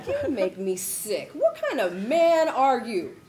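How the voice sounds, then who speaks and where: Actress as brash bossy lady boss scolding and mocking, high swoopy voice, some background noise, comedy table read. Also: huge pitch swings, takes it slowly, darkish tone, heavily acted. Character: bossy, sharp-tongued, mocking woman boss at mission control. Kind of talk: comic screenplay table read.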